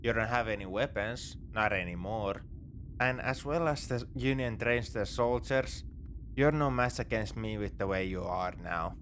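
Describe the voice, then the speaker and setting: Space pirate captor, male actor: lowish voice, wry and reassuring, morally grey, ASMR sci-fi roleplay with light ambient noise. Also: moderate pace, a bit bright, natural voice. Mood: calm, smug. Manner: casual, wry, coaxing. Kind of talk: second-person sci-fi ASMR roleplay acting.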